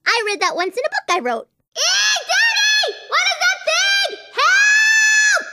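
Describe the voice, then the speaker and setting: A woman's voice: extremely high pitch, very animated, slow, shouting, a loud crowd, heavy background noise, full character voice. A woman voicing an imaginative, chatty little girl in a video game, with a whimsical, earnest, childlike way of wondering aloud. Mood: playful, whimsical, then alarmed, calling out. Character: imaginative, chatty little girl, dreamy about unicorns and princesses. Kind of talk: animated video game character voice acting.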